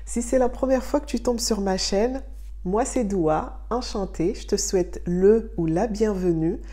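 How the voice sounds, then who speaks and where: A woman's voice: medium pitch, warm tone. A young woman from Mayotte hosting a casual home tutorial, speaking straight to the viewer in a friendly way with frequent hesitations. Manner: friendly, addresses viewer directly, frequent "euh" pauses. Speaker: wellness channel host. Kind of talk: casual tutorial talking to camera.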